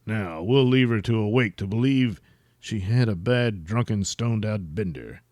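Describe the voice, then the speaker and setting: A man's voice: on the low side, moderate pace, darkish tone, no room sound, studio quality, natural voice. A man playing a pompous, self-important, blustering superhero in a comic audio serial. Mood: scheming, bossy. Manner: pompous, grandiose.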